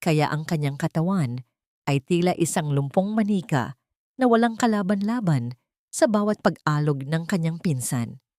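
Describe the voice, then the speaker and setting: A woman narrates a melodramatic audiobook in a somewhat low voice. The delivery is solemn and suspenseful, and the recording is dry and close. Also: animated, normal speed, a little mellow, dry recording. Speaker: story narrator. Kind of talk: scripted dramatic audiobook narration.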